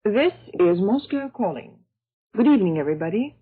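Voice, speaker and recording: A woman radio announcer making a formal, deliberate station announcement in 1940s shortwave broadcast style. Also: mid-range voice, big pitch swings. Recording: husky-sounding, phone-like 1940s shortwave radio recording.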